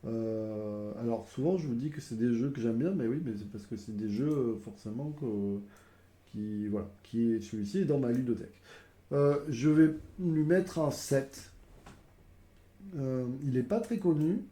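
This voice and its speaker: A man's voice: medium pitch, measured pace, mellow, roomy sound. A man with a cold, explaining board game rules casually to viewers, hesitant and full of fillers. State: has a cold.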